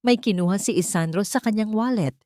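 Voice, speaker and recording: A woman's voice: medium pitch, fairly quick, dark tone, no room sound, clean studio sound. A woman reading a dramatic love story as a scripted narration.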